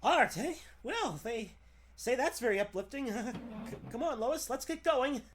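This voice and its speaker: A man's voice: very high voice, animated, unhurried, fairly bright tone, faint music behind, heavily acted. A man playing a meek young reporter, hesitant, apologetic and stammering, in 1940s radio style. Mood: mild, appeasing.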